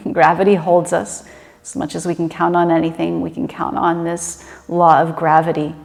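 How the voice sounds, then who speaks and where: A woman leading a guided meditation in a gentle, unhurried and conversational manner. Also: lowish voice, little pitch movement, vocal fry. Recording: reverberant room with long echo.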